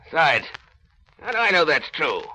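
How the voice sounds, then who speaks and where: A man's voice: on the high side, sing-song, moderate pace, bright, forceful tone, slightly rough, full character voice. An actor playing a miserly, scornful old businessman in 1930s radio drama, curt and grumbling. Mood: cold, scornful. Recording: noisy vintage 1930s radio broadcast.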